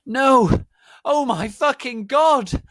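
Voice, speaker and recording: A man's voice: very high voice, extremely slow, a bit bright, no room sound, pitched above the performer's own voice, exaggerated voice. A man voicing a melodramatic, easily panicked goth teenage girl in a dramatic story reading. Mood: terrified.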